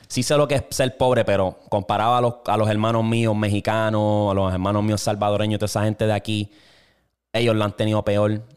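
Puerto Rican man, young, casual podcast host, slangy and easygoing, lowish pitch. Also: darkish tone, clean tone. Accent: Puerto Rican Spanish. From Puerto Rico. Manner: slangy, laid-back, swearing freely. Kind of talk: casual solo podcast chat. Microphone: single camera with mic.

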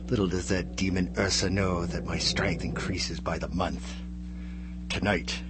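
A man's voice: sits low, steady tone, unhurried. A man playing a scheming, wrathful fox demon lord in a live radio drama, with grandiose, menacing pronouncements. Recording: loud hum and noise.

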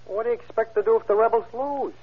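An actor playing a wry, skeptical American soldier of fortune, laconic and needling, in an old-time radio crime drama. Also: male voice, very high-pitched, fast, husky. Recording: muffled, tinny old radio recording.

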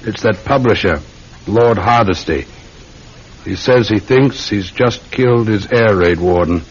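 An actor playing a dutiful, methodical British police sergeant in an old radio drama, polite and measured. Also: male voice, lowish voice. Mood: urgent, deferential. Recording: dull, noisy old radio recording.

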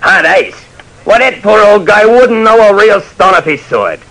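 A man's voice: sits high, big pitch swings, normal speed, a bit bright, exaggerated voice. An actor playing a blunt, slangy, suspicious tenement man in an old-time radio drama. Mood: protective. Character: gruff, loyal tenement neighbor, street tough. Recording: noisy vintage radio recording.

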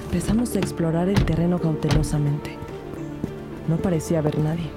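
A woman's voice: low voice, steady tone, a little fast, lightly acted. An actress playing an earnest, determined female police investigator who narrates a crime audio drama in the first person. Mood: tense narration. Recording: studio drama under loud music bed.

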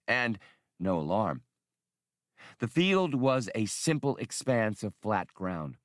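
Low-voiced male audiobook narrator. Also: no room sound, studio quality. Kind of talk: scripted narration of a science fiction adventure novel.

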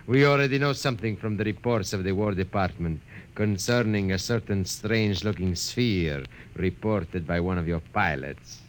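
A man's voice: deep voice, moderate pace, close to an everyday voice. A man doing a suave sinister doll-maker. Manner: silky, menacing, theatrical. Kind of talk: old-time radio drama acting. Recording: old radio recording with faint hiss.

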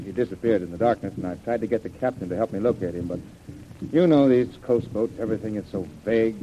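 A man's voice: on the low side, quick pace, warm tone, lo-fi sound, lightly acted. A man playing a grave, wary major on a secret mission, in old radio adventure serial style. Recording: noisy old radio recording.

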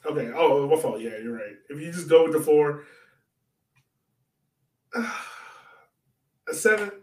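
A man's voice: a little high, big pitch swings, slow, a little mellow, distant mic. Echoey guy a bit away from the mic, casual slangy sports podcast cohost. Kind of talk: casual soccer banter and questions.

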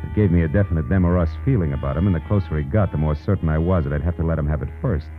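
A hard-boiled gumshoe from 1940s radio drama with a very low, brusque voice. He talks in slangy, sardonic jabs. The recording is muffled and old, with music in the mix. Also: rapid-fire. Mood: wry narration. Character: tough, wisecracking hard-boiled male private detective.

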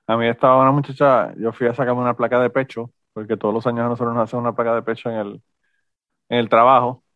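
A man's voice: mid-range voice, level tone, fast talker, no echo. Man, Puerto Rican accent, casual chat, joking, swearing, slightly dull recording. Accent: Puerto Rican Spanish. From Puerto Rico. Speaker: former university instructor.

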